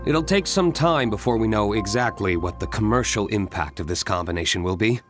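A man playing a composed, courteous young business owner in a video game, speaking in a formal, measured, polite way. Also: lowish voice, lively intonation, over music, close to an everyday voice. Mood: polite, businesslike.

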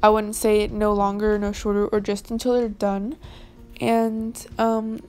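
A young woman narrating a voice-over of her baking footage in a casual, even way with a few fillers. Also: mid-range voice, measured pace, clear, resonant tone. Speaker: vlogger.

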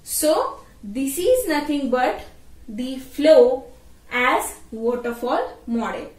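Female tutorial presenter, very unhurried delivery with repeated phrases, recorded in an echoey room away from the mic. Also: high-pitched, animated. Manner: repeats phrases, adds checking tags. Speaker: teacher. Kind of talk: explanatory tutorial lecture. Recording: roomy echo, distant microphone.

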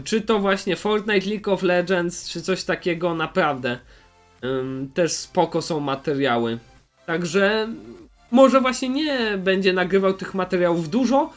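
A man's voice: sits high, fairly bright tone. A man talks off the cuff as a YouTube host. He is hesitant, circles back over his words and fills gaps as he goes. Faint music plays behind him. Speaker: YouTuber host recommending channels. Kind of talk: casual unscripted commentary.

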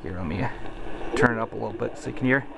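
A man's voice: medium pitch, fast talker, vocal fry, over a noisy crowd. A man giving a casual, unscripted hobby demonstration in a hesitant, self-deprecating way, indoors on a handheld camera. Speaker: hobbyist video maker. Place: indoors in a carpeted room at home. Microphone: handheld camera mic. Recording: noisy home camera recording, radio static.

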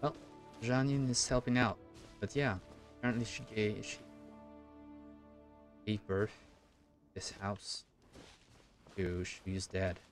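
A man's voice: lowish voice, fairly even intonation, takes it slowly, long pauses. A man in his thirties, a streamer giving casual live commentary on a game. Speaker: gaming streamer. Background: game music.

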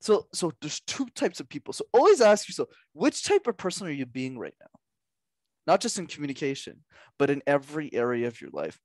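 Young guy coaching on a podcast, bouncy animated voice, kinda high, dry headset sound. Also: fairly quick, dry recording. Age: young adult. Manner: animated, gestures a lot, drives points home with repetition. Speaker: podcast guest, communication coach. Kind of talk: energetic coaching talk. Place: small room at home. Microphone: headset mic.

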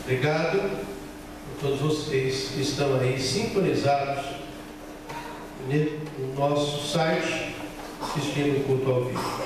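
A man's voice: medium pitch, takes it slowly, long pauses. A Brazilian man, a pastor leading a church service, addressing his congregation. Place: large church hall, indoors. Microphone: far camera, voice over PA. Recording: very echoey church hall, distant, noisy.

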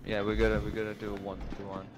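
Quiet, low-voiced man on voice chat. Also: monotone, racing. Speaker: teammate on voice chat. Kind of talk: casual gaming chat.

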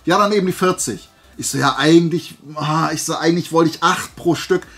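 A man's voice: fairly high pitch, brisk, a bit bright, husky. A man vlogging casually in a colloquial storytelling way, acting out bits of dialogue, his throat dry and voice worn from days of talking. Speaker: host of a flea market haul video. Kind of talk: casual anecdotal vlog chat.

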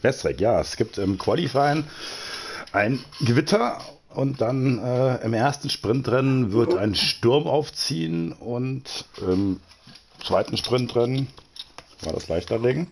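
A man's voice: mid-range voice, animated, slow pace, gravelly, a little hiss or hum. A man commentating a live sim racing broadcast, chatty and joking as he reads out standings and greets viewers. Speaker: race commentator.

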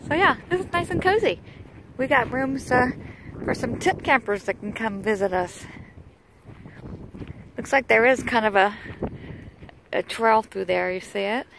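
Chatty woman vlogger, gravelly low edge, filmed outside with loud wind on the mic. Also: unhurried, pauses often, low-quality recording. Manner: chatty, laughs easily. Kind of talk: casual travel vlog narration. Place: outdoors at a windy campground and beach.

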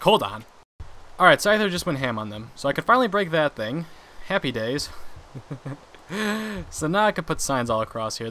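A young man casually commenting on his own gameplay, chatty and quick to laugh at his mistakes. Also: medium pitch, sharp tone, slight background noise. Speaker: gaming channel host.

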